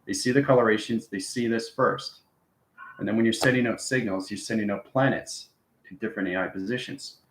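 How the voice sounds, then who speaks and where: Low-voiced man lecturing in an echoey room. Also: steady tone, fairly quick, a little hiss or hum. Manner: instructive, repetitive, checks in with "okay" and "right". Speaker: spiritual channel host giving instruction. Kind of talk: improvised esoteric lecture to viewers. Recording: roomy, echoing sound.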